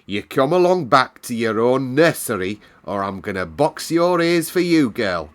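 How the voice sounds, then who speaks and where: A man's voice: mid-range voice, sing-song, unhurried, a bit bright, voice pushed up. A man voicing a cross, bossy housekeeper woman in a storybook, scolding and commanding in rustic dialect wording.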